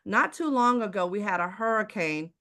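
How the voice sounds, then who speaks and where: A woman from Houston with a Texas accent is giving a sales talk to a live online audience. Her pitch is in the middle of the usual range, and she is fervent and preachy. She keeps asking rhetorical questions. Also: animated, normal speed. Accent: Texan. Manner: preachy, rhetorical questions, repeats "ladies and gentlemen". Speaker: presenter selling water filters.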